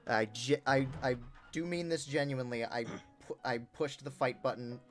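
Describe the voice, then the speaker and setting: A game streamer giving casual, jokey, excitable live commentary and reading game text aloud. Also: medium pitch, normal speed, faint music behind.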